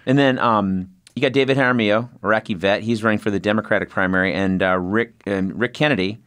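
Quick rambly guy hosting a podcast, kinda low voice, dry close mic. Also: fairly bright tone, no echo. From California. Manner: rambling, profane, many restarts. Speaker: podcast host. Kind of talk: casual interview chat.

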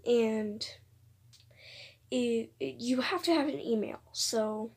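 A young woman with a high, slightly breathy and rough voice that cracks now and then. She makes an informal, rambling announcement to her viewers at an unhurried pace, hesitating and circling back over her phrases. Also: a little mellow. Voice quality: occasional voice cracks. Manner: hesitant, informal, repeats herself. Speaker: video creator announcing voice auditions. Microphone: phone voice recorder app.

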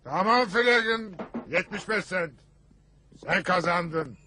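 A man's voice: sits high, takes it slowly, heavily acted. A man voicing a blustery, boastful father in a Turkish cartoon dub, with a theatrical delivery. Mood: grumbling. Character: blustery father spinning tall war stories. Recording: loud music under the voice.

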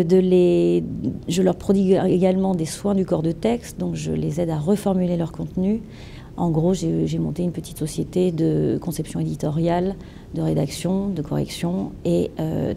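A woman in her thirties answering interview questions at a trade fair stand, lively and playful with words, gesturing as she talks. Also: on the low side, moderate pace, gravelly, slight background noise. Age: 30s. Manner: animated, gestures with hands, playful wordplay. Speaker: entrepreneur interviewee. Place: event stand with branded backdrop, indoors.

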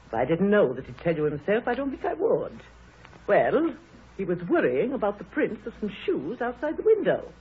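A woman's voice: mid-range voice, big pitch swings, moderate pace, breathy edge. An actress playing a chatty, nosy woman who fancies herself a sleuth, coy and insistent, in 1930s radio style. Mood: coy, teasing. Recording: muffled narrow-band 1930s radio broadcast.

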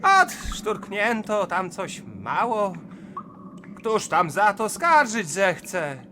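An actor playing a timid, simple young mason in a verse radio play, hesitant, deferential and plaintive. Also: male voice, high-pitched, sing-song, drawn-out words, noisy background, full character voice.

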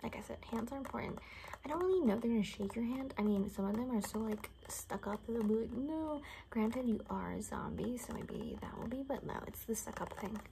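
A young woman in a whispered ASMR roleplay, rambling casually in a soft, breathy, chatty way. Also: fairly high pitch, fairly quick, background noise. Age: young adult. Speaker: ASMR creator playing an attendant. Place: small bedroom indoors.

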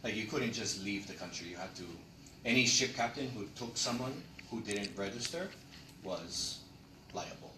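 A man's voice: lowish voice, lively intonation, normal speed, background noise. A middle-aged man gives a classroom lecture, emphatic and asking his audience rhetorical questions. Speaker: lecturer on land history. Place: classroom with projector screen. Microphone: far camera. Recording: echoey room from far off.

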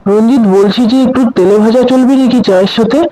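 A man as high, mellow old snack seller. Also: fast talker, no echo, low-quality recording. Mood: eager. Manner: hawking, repetitive, coaxing. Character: eager old village tea-stall snack seller.

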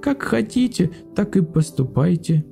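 A man voicing a small shy fuzzy animal in a high, timid, childlike tone. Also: very animated, unhurried, vocal fry, warm tone, faint music behind, heavily acted. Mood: meek.

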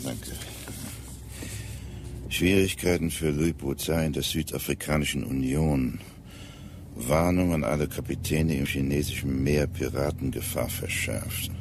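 An actor playing an authoritative, deliberate ship's captain in a German radio drama, with hesitant pauses. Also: male voice, extremely low pitch, a little mellow, slight background noise. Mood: brisk. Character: gruff, measured male British ship's captain.

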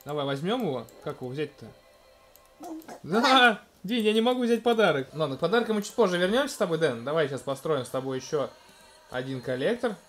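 A man in his thirties casually commenting on a video game in a gentle, coaxing way, talking to a small child beside him at home. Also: a little high, lively intonation, fairly quick, faint music behind. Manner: gentle, coaxing, talks to the child, narrates what is on screen. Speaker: let's-play host playing with his toddler.

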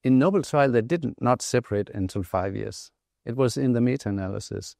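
A middle-aged man with a Danish accent explains research findings as a physician in a measured, matter-of-fact interview manner. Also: mid-range voice, creaky, darkish tone, no room sound, clean studio sound. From Denmark. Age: middle-aged, around 60. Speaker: physician and clinical trial investigator. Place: indoor interview set, close dry sound. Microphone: lapel microphone.

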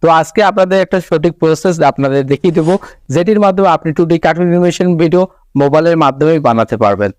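A man's voice: a little high, lively intonation, racing, no room sound. A man speaking as a channel host, addressing viewers in a scripted video introduction, recorded as a close voice-over.

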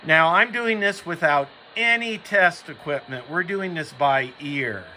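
A man's voice: on the high side, unhurried, sharp tone, slight background noise. An American man giving casual how-to narration as a hobbyist video host, recorded in a room at home.

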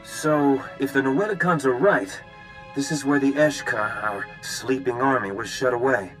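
A man playing a thoughtful sci-fi crew companion in a video game, deliberate and explanatory. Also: medium pitch, fairly bright tone, lightly acted, low-quality recording. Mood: pensive. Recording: music clearly under the voice.

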